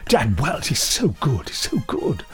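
A man's voice: fairly high pitch, wildly swooping pitch, measured pace, fairly bright tone, faint music behind. A British man in casual podcast chat, rambling and gleeful as he hosts. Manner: chatty, rambling, gleeful quoting. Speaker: podcast host and drama director.

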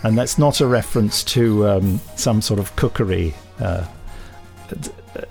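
A man's voice: on the low side, a little slow, creaky, darkish tone, faint music behind. A middle-aged man co-hosting a podcast, chatting casually and jokily as he reads out listener reviews. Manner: jokey, self-deprecating, laughs often. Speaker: podcast host.

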